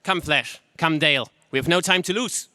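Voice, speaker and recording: A gallant but gloomy prince from a space radio play, acted by a man. His stagey delivery is bright and slightly high, with a dry sound. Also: moderate pace, no room sound. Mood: urgent, hearty. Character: prince ally, gallant but fatalistic, urgent. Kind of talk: 1930s space serial radio acting.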